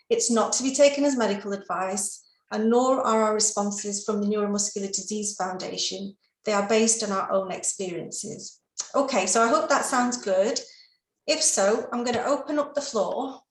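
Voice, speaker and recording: A woman moderating a patient panel over a video call, speaking in a polite, measured, orderly way. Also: a little high, echoey room, low-quality recording. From England.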